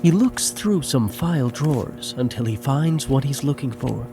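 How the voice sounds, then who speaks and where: A man's voice: mid-range voice, animated, moderate pace, music in the background. A man narrating the action of a scripted audio drama.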